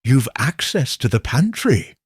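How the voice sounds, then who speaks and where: A man voicing a stern, suspicious police investigator with a sharp, grim manner in a mystery story. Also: medium pitch, very animated, measured pace, slightly rough, no echo, clean studio sound, heavily acted.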